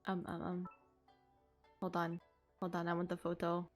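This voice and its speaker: A woman's voice: lowish voice, completely flat delivery, normal speed. A woman game streamer chatting casually with viewers and commenting as she plays. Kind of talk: casual livestream chat and gameplay commentary. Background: game music.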